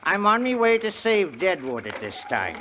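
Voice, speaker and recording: Roguish Irish peddler of the Old West: talkative, flowery, very animated delivery, 1950s radio drama with clear background noise. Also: male voice, sits high, moderate pace. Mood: boastful, jovial. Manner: blustery, theatrical, flowery phrasing. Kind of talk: 1950s radio Western acting. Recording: noisy vintage 1950s radio recording.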